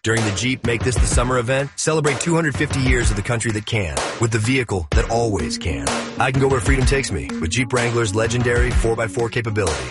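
A man's voice: mid-range voice, expressive, fast, few pauses, loud music behind the voice. A man reading a scripted car ad in an upbeat, punchy way. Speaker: ad announcer. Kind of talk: scripted ad read.

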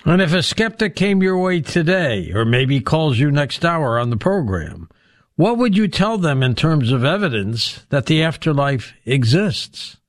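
A man working as a radio host and interviewer. His voice sits fairly high and moves with animated intonation as he puts questions to a guest, recorded dry. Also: measured pace, dry recording.

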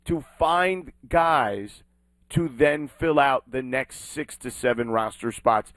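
A man hosting a sports talk show, talking with emphatic, animated delivery. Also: mid-range voice, slow pace, no echo. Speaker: sports talk radio host. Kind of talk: sports talk and live read ad.

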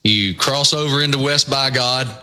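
Bright-voiced Southwest Virginia host. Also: male voice, medium pitch, moderate pace. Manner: storytelling, folksy and animated. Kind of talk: casual sports talk.

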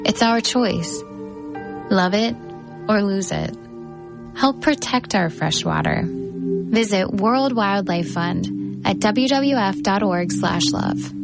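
A woman reading a scripted ad in a calm, soothing, measured way. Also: mid-range voice, over music. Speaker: ad narrator. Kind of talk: scripted ad narration.

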